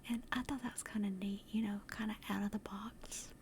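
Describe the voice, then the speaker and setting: A woman chatting casually to livestream viewers in a soft, breathy ASMR whisper, gentle and rambling, close to the microphone. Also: steady tone, fairly quick, a little hiss or hum. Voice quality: soft, breathy whisper and hushed low-volume speech. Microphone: close directional microphone.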